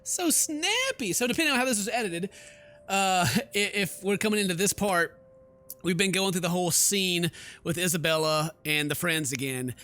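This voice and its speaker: A man's voice: high-pitched, lively intonation, normal speed, pushed and bright. A man hosting a gaming video, commenting casually with sarcastic, mocking asides and sometimes reading narration aloud. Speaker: gaming video host, let's player. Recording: game music under the voice.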